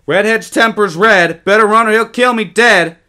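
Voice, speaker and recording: A man voicing excitable, bickering young twin boys in storybook dialogue, taunting and exclaiming. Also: high voice, normal speed, pitched above the performer's own voice. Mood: taunting, heated.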